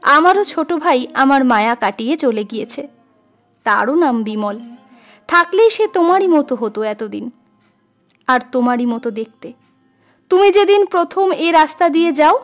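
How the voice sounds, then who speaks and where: Woman voicing a tender, high-voiced doting elder sister-in-law. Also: animated, moderate pace, faint music behind. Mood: tearful, tender. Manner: affectionate, emotional, coaxing.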